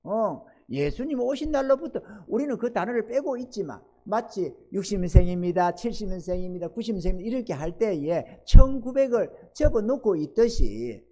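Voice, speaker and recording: Older male pastor, lively emphatic preaching. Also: high voice, fairly quick, few pauses. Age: 60s. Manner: swings from loud emphatic exhortation to soft quiet asides; asks the congregation for amens. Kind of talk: sermon.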